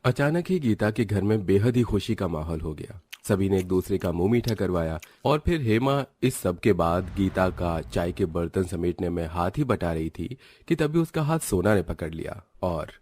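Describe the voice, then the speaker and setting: A man's voice: low-pitched, lively intonation, normal speed, hardly any pauses, darkish tone. A man reading scripted narration for a cartoon family story.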